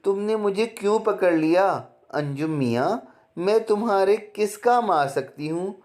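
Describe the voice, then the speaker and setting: A man voicing an innocent, gentle young female swan who pleads earnestly and plaintively in a children's fairy tale. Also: high voice, lively intonation, measured pace, pitched above the performer's own voice. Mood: tearful pleading.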